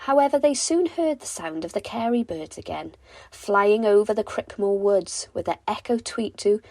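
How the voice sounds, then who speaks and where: Animated woman reading a bedtime story. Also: mid-range voice, no echo. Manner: deliberate, animated storytelling for children. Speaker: storybook reader. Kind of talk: expressive bedtime story narration.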